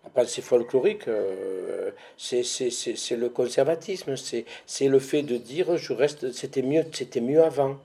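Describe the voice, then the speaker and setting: Man, casual interview talk, earnest, halting restarts, dark mellow voice, a little husky. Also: medium pitch. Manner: searching for words, many restarts, earnest.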